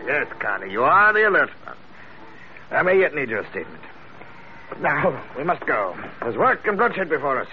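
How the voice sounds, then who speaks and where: An actor playing a wily, pompous investigator with florid, deliberate speech in a vintage radio drama. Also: male voice, fairly high pitch, big pitch swings. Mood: courtly, wry. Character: wily, pompous British investigator posing as a smooth blackmailer. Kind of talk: 1940s-50s radio drama acting. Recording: muffled, noisy vintage radio recording.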